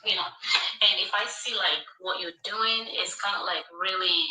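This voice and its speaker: An echoey, slightly distant live stream recording of a woman with a Nigerian accent. Her voice is very bright and sharp, and she chats casually to her viewers. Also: medium pitch, normal speed. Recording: echoey room, distant from the mic.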